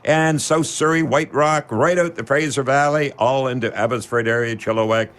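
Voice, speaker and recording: Guy hosting a live radio call-in, folksy and rambly, mid pitched voice, pauses and hesitates a lot. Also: fairly bright tone, slight background noise. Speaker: radio show host. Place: radio studio.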